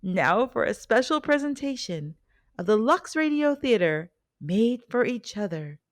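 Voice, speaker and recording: A woman's voice: fairly high pitch, big pitch swings, a little slow, no echo. A woman reading scripted documentary narration as the host of the programme. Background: soft background music.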